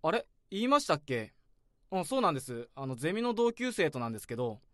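A man's voice: a little high, very animated, fast talker, dry recording, clean studio sound. An actor playing a brash, complaining young male office worker in his twenties in a radio drama.

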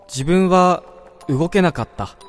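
A male actor as a wistful dreamer narrates a radio drama over soft background music. His voice sits a little high for a man, and he takes his time, speaking in a measured, reflective first person as if recounting his own dream. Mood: uneasy, resisting. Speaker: narrator-protagonist.

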